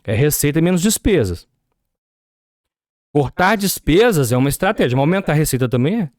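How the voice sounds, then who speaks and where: Brazilian man with lively, animated pitch. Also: fairly quick, no echo, studio quality. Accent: Brazilian Portuguese. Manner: explanatory, asks rhetorical questions. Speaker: podcast guest. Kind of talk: business podcast conversation.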